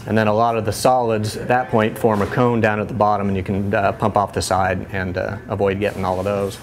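A man in his thirties, a head brewer giving a casual tour of his small brewery, relaxed and full of fillers and restarts. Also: on the low side, a little fast, slight background noise. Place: small brewery inside a bar, among steel tanks. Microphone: lapel mic.

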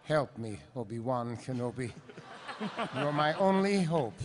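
Man doing a slow, plaintive scheming megalomaniac. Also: mid-range voice, animated, lightly acted. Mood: scheming, pleading. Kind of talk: comic live script reading. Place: large convention hall stage. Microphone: microphone on table stand. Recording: live stage, faint hall noise.

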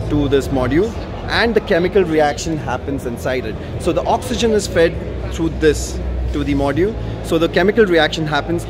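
A man presenting a car on a walkaround at an expo, explaining casually with plenty of ums and uhs. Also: fairly high pitch. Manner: casual explaining with many fillers. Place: large indoor auto expo hall. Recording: noisy expo hall.

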